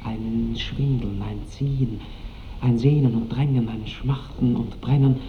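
Actor doing lovesick young nobleman, intimate breathy rapture, mid pitch, old muffled 60s radio drama. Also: fairly even intonation, unhurried, close to an everyday voice. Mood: yearning, rhapsodic. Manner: breathless, rhapsodic, intimate, often dropping to whispers. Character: ardent seventeen-year-old count, infatuated young lover. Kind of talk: 1960s German radio drama acting. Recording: dull, noisy old radio recording.

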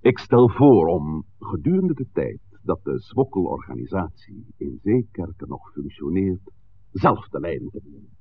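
A man playing a suave, scheming village pastor in a 1960s radio drama, speaking in formal, measured, courteous sentences. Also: low-pitched, sing-song, husky, full character voice. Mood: grave, diplomatic. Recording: muffled, noisy old radio recording.

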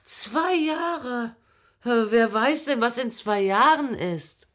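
Slow-talking, swooping, playful mother. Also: fairly high pitch, expressive, a bit bright, full character voice. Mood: dismissive, incredulous. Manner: unhurried, colloquial, teasing. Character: unstable, playful, loving mother of a teenage girl. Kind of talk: German radio drama dialogue.

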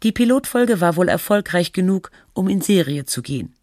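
A woman's voice: on the low side, animated, normal speed, a little mellow, dry recording, studio quality. A woman reading scripted documentary narration as the narrator of a radio feature.

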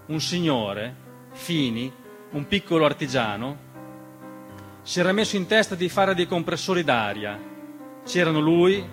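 A man delivering a scripted radio theatre monologue, measured and dramatic, with deliberate pauses. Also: a little high, fairly bright tone. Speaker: stage storyteller and narrator. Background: piano music.

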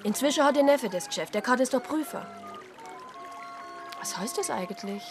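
An actress playing a curious, practical deaconess with a Swabian accent and a direct, folksy manner in a radio play. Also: female voice, fairly high pitch, animated, normal speed, over music. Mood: helpful, curious.

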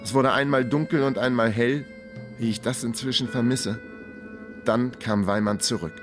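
A man's voice: mid-range voice, steady tone, normal speed, music in the background, close to an everyday voice. An actor playing a world-weary investigator who narrates his own radio crime drama in a dry, ironic manner. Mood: wry, weary. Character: world-weary, wry male investigator hero. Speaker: narrator and lead character.

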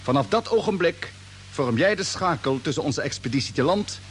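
An actor playing a confident space fleet captain in a radio drama, giving clipped, authoritative orders. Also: male voice, on the high side, lively intonation, normal speed, lightly acted. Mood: commanding, brisk. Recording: old radio play, humming background noise.

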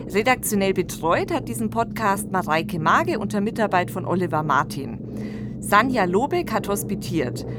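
A woman hosting a podcast, giving conversational commentary in an informal, explanatory way. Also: mid-range voice. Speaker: podcast host.

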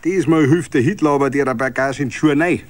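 A man's voice: medium pitch, brisk, voice pushed up, natural voice. A man voicing a smug, gloating middle-aged salesman in a radio story, condescending and with a Bavarian accent. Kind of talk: dramatic reading of a radio story.

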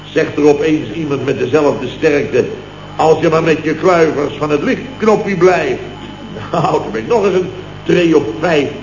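An actor playing a blustering, indignant building-firm boss telling tall tales in exclamatory, conversational style in a vintage radio comedy. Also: male voice, fairly high pitch, measured pace. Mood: indignant, animated. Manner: exclamatory, conversational storytelling with mimicked exchanges. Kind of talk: 1970s radio comedy acting. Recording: old muffled, noisy, echoey radio recording.

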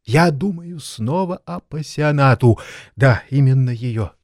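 An actor playing an excitable, sentimental elderly maestro pianist in an audio drama, theatrical and halting. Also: male voice, mid-range voice, no echo, studio quality. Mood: exalted, excited.

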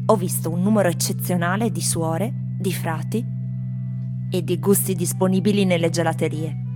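An actress playing wry, world-weary foreign tourists in a theatrical radio monologue, speaking in delivery. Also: female voice, fairly low pitch, steady tone, moderate pace, natural voice. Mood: dry, wry. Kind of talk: theatrical scripted monologue over music. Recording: music playing behind the voice.

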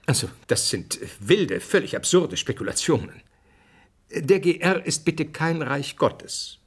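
An actor playing an earnest, didactic scientist being interviewed in a radio drama. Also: male voice, a little high, big pitch swings, unhurried, husky, exaggerated voice. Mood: dismissive. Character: earnest male scientist, explaining theory, brusquely dismissive of speculation.

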